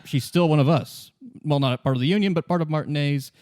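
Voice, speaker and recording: A man playing a defensive male bar-gang leader in a tabletop game, speaking in a halting, grudging way. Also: fairly high pitch, darkish tone, dry recording, clean studio sound, close to an everyday voice.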